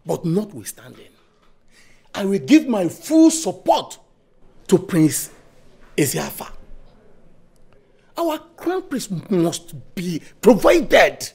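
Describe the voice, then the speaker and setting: An actor playing a stern palace elder who forcefully demands answers. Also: male voice, sits high, big pitch swings, takes it slowly, pauses often, husky, full character voice.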